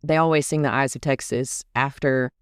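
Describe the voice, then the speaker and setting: A young man hosting a campus podcast, talking in a relaxed, informal way. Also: mid-range voice, normal speed, clear, resonant tone, dry recording, clean studio sound. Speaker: podcast host. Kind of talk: casual podcast chat.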